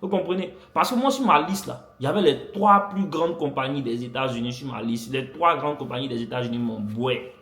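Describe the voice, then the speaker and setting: A man's voice: medium pitch, brisk, fairly bright tone. A young man vlogging, animatedly telling a personal story to his viewers, repeating phrases for effect. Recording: echoey room.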